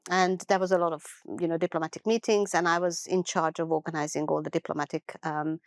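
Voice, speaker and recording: A middle-aged woman with a Hungarian accent speaks in an earnest, measured way, pausing to gather her thoughts. Her voice sounds smooth and clear, pitched slightly low, in a dry, close-miked sound. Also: steady tone, no room sound. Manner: earnest, unhurried storytelling with thoughtful pauses and restarts. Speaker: guest. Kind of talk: personal life story in an interview.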